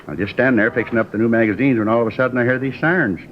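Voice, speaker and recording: Man playing a fast-talking, rambling newsstand vendor. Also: mid-range voice, natural voice. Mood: excited, eager. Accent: American. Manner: rambling, repetitive, folksy. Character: chatty, eager male newspaper vendor, shaken eyewitness. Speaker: supporting actor. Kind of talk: 1950s radio acting. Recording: dull, noisy old radio recording.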